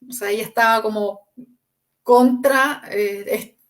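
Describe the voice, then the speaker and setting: A woman's voice: on the high side, steady tone, measured pace. A woman, a book author, answering in an interview with earnest, flowing storytelling and frequent restarts.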